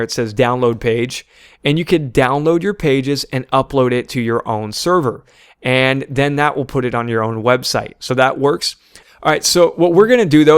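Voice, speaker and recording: A man's voice: mid-range voice, clear, resonant tone, no echo, studio quality. A man narrating a screen-share tutorial, talking informally and guiding viewers step by step as the presenter. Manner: informal step-by-step instructing, often addressing viewers as "you guys".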